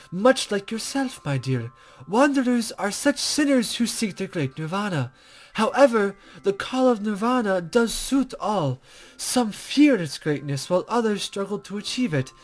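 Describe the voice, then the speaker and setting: A man voicing a cryptic, serene female guide to the afterlife from a visual novel, measured, formal and gently condescending. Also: high voice. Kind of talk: character reading from a visual novel.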